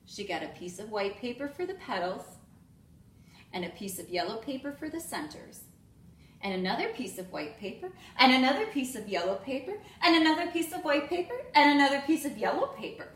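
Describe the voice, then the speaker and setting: Woman as children's read-aloud narrator: high, animated delivery, echoey room. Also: a little fast. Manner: expressive, addressing young children. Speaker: teacher reading aloud to children. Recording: echoey room with faint noise.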